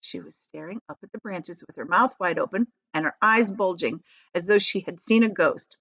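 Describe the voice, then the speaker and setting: Expressive woman reading a storybook, dry sound. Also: on the low side, moderate pace, breathy edge, no room sound, muffled recording. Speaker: teacher reading aloud.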